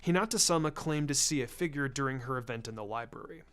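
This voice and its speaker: A man voicing a shrewd, laid-back intelligence chief in a story reading, with a careful, deliberate way of speaking. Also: a bit bright, clean tone, lightly acted. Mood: analytical. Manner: careful, deliberate, low-key. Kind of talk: fan fiction story reading. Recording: music under the voice.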